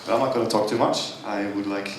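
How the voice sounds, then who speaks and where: A man's voice: on the low side, steady tone, quick pace. A man moderating a conference panel, talking informally and loosely with frequent fillers. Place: conference hall stage. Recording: echoey hall, from far off, with clear background noise.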